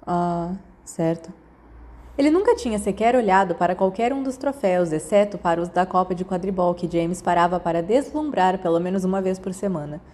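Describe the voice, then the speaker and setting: A woman's voice: fairly low pitch, fast, hardly any pauses, darkish tone. A woman with a Brazilian accent reading a scripted story as narrator. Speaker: podfic narrator.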